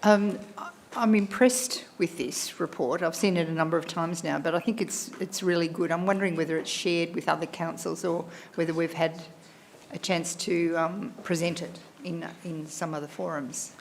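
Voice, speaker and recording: A woman's voice: on the low side, steady tone, moderate pace, creaky, a little hiss or hum. A woman councillor speaking appreciatively in a meeting, using fillers like "you know". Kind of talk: meeting discussion.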